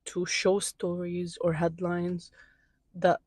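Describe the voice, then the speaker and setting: Student from Syria, interviewee, monotone delivery, soft dark tone, hesitant with fillers, outdoor campus. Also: medium pitch, takes it slowly, dry recording. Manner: hesitant, searching for words with many fillers.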